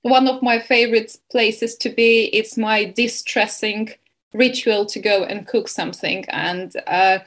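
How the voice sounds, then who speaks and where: A woman's voice: fairly high pitch, steady tone, a little slow, fairly bright tone. A young adult woman from Estonia, a keto coach, answering interview questions on a video call with many hesitations and restarts. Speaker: keto coach and nutrition advisor. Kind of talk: webinar interview.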